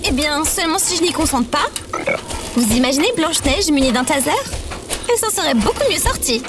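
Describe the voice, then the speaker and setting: A woman's voice: sits high, animated, fairly quick, noisy background. A woman playing a cheerful female robot companion in an audio drama, precise and enthusiastic, with a naively fierce streak. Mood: excited, playful. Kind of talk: audio fiction acting.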